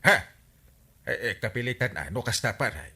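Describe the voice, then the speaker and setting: An actor playing a blustery comic village captain in an Ilocano radio drama, with an Ilocano accent and an incredulous, exclamatory manner. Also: male voice, medium pitch, animated, normal speed, sharp tone, breathy edge, full character voice.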